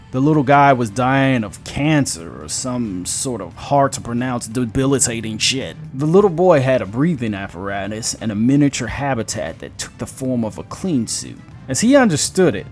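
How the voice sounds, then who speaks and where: A man narrating a sci-fi story in a casual, profane storytelling manner. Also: medium pitch. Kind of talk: audiobook-style story narration.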